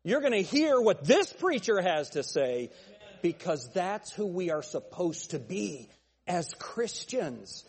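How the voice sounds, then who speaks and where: A male pastor preaching a fiery sermon with a thin, nasal voice, pressing his points with forceful, repeated phrases. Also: high voice, sing-song, takes it slowly, breathy edge, long reverb tail. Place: church sanctuary.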